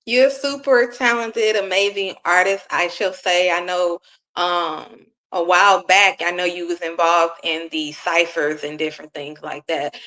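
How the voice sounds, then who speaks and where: A woman's voice: lowish voice, steady tone, unhurried, sharp tone, low-quality recording. A woman hosting an interview, asking chatty, rambling questions full of fillers. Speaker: interviewer host. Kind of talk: casual interview.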